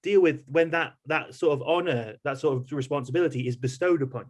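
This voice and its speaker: Higher-voiced man hosting an interview. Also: a little fast. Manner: conversational, rephrases questions as he goes. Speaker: podcast host, interviewer. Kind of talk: casual interview questioning.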